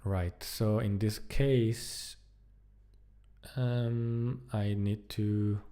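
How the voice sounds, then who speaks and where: A man giving casual live-coding commentary, thinking aloud in a halting, muttering way as he works. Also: on the low side, fairly even intonation, dark tone. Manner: halting, muttering to himself while working. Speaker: programmer live-streaming a coding project.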